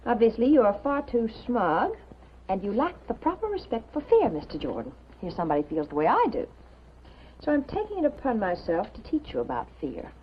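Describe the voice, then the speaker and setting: An actress playing a sharp-tongued, worried newspaper colleague, prim and insistent, in a 1950s television drama. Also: female voice, a little high, wildly swooping pitch, brisk. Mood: reading aloud. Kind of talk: 1950s American TV drama acting. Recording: muffled, noisy 1950s television soundtrack.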